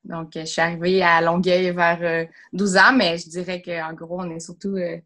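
Low-toned Quebec French woman, friendly. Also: level tone, moderate pace, a bit bright. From Sherbrooke, Quebec. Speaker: drama teacher. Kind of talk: casual video-call interview. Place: home room with bookshelf. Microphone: laptop camera on a video call.

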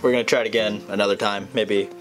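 A young man in his twenties chatting casually in a fishing vlog, excitable and prone to blurting out exclamations. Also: lowish voice, fast talker. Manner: excitable, blurts out exclamations, says "freaking" a lot. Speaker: fishing vlogger. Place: pond bank outdoors, then home gaming room indoors. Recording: background music playing.

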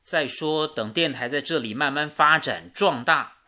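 An actor playing a principled, sensible young radio engineer in a radio drama, explaining things earnestly. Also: male voice, fairly high pitch, unhurried, sharp tone. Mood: defensive, reasonable.

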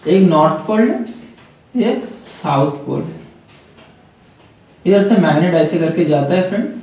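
A man's voice: a little high, animated, unhurried, darkish tone, heavy reverb, distant mic, poor audio. A man explaining a circuit step by step to viewers, repeating key points and calling them friends. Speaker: electronics trainer. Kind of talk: whiteboard circuit lesson.

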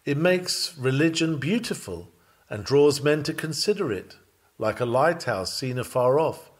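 Guy reading out an old style sermon, solemn and measured, kinda declamatory, mid pitched voice. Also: expressive, slightly rough. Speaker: narrator reading a written sermon.

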